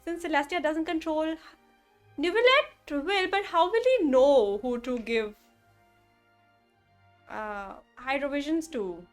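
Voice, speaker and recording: Young woman streamer: high-pitched, gushing, slangy reaction commentary, faint game music behind. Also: takes it slowly, pauses often. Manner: excitable, gushing fan, slangy. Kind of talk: casual live reaction commentary.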